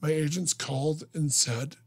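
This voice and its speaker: A radio host interviewing a guest, joking and teasing with quick reactions. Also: medium pitch, fairly even intonation, husky.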